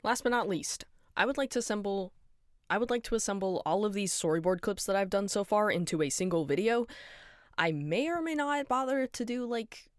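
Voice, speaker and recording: A woman rambling casually about her fan project, tired and apologetic, hoarse and nasal from being sick, on a close home mic. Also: mid-range voice, lively intonation, fairly quick, no room sound. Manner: rambling, apologetic, tired, self-deprecating laughs. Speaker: fan animator and voice actor. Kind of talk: casual behind-the-scenes rambling.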